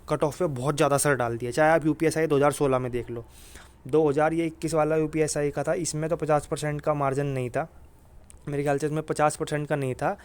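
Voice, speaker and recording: A man giving informal exam guidance like a coach, repeating his points emphatically and often addressing listeners directly. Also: on the high side. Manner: repetitive, emphatic reassurance with frequent filler address.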